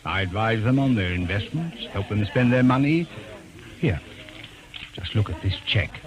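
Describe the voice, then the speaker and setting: An actor playing a boastful, smooth-talking American schemer with a short fuse in an old-time radio drama. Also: male voice, fairly low pitch, expressive, moderate pace, natural voice. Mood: eager, boastful. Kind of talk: 1940s-50s radio drama acting.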